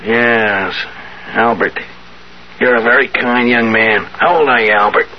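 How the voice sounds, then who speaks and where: An actor playing a tired old wheeler-dealer in a 1970s radio drama, halting yet insistent as he bargains. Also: medium pitch, lightly acted. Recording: muffled, hissy 1970s radio recording.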